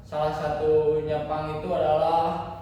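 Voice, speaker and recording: A young man gives a class presentation at a slow, halting pace, stopping often for fillers and restarting his sentences. His voice is a little high and sits far from the mic. The classroom around him is very echoey, with a long reverb tail and faint background noise. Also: level tone, lo-fi sound. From Indonesia. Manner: hesitant, many fillers and restarts. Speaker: student presenter.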